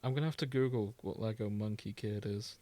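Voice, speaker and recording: A man's voice: on the low side, fairly quick, mellow. A man co-hosting a podcast in casual chat, telling stories with mock quotes. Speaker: podcast co-host.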